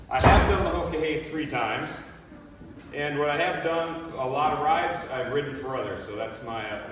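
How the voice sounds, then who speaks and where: A man's voice: medium pitch, fairly bright tone, slight background noise. A man casually introducing fellow bikers at a school presentation, telling folksy stories. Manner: folksy, storytelling. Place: school hall. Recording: echoey hall from far off.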